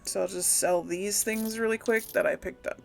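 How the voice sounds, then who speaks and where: A woman's voice: mid-range voice, steady tone, moderate pace, gravelly, soft background music. A woman hosting a casual gameplay video, thinking aloud as she plays. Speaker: gaming video host. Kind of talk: casual role-play gameplay commentary.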